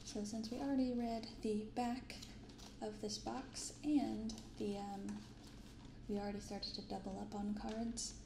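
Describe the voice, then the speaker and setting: A young woman talks in a soft, gentle ASMR manner with a good deal of vocal fry. She chats casually and takes her time. The binaural recording sounds roomy, with clear background noise. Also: mid-range voice, flat delivery. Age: 20s. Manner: soft-spoken, gentle, unhurried. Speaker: host of a card-opening ASMR channel. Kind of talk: soft-spoken ASMR, casual unboxing chat.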